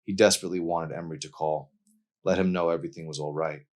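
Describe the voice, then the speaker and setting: A man's voice: low-pitched, steady tone, fast, a little mellow. A man reading his own novel aloud as dramatic audiobook narration.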